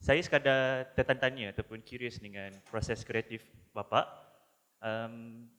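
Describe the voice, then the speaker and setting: A man's voice: mid-range voice, fairly even intonation, normal speed, sharp tone. A man from Malaysia with a Malaysian accent, asking a polite, formal question from the audience. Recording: long hall reverb.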